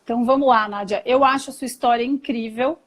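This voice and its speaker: A woman hosts a live video chat in a fairly high voice, talking with her guest in a warm, admiring, conversational way. The sound comes through a video call. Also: steady tone, quick pace. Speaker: doctor, live stream host.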